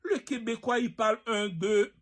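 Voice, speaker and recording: A man's voice: high-pitched, normal speed, fairly bright tone. A man delivering a rambling, slangy monologue to his followers, boastful and provocative, circling back to his catchphrases. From Haiti. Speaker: online personality addressing followers.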